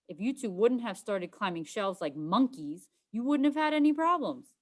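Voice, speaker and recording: A woman's voice: medium pitch, sing-song, normal speed. A woman voicing a sarcastic, secretive young schoolgirl in a children's storybook, with a curt manner. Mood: explaining, firm. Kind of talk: children's storybook read-aloud.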